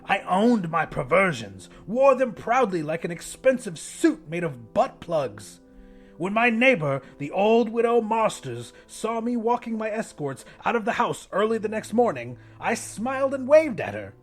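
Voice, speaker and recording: A man's voice: sits high, big pitch swings, a little slow, faint music behind, exaggerated voice. A man narrating an audiobook as a pompous, depraved widowed English professor in his sixties, with an ornate, theatrical delivery. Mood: feverish, emphatic, then defiant, pompous. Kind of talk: dramatic first-person audiobook narration.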